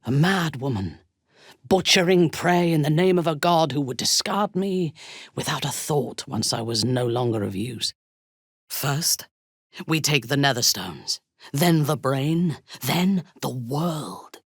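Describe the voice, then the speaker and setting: An actress playing a ruthless, haughty female drow commander in a video game, with a husky, breathy voice and a measured, imperious, menacing delivery. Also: low voice, expressive, dry recording, clean studio sound, exaggerated voice. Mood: proud, measured. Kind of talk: video game character voice acting.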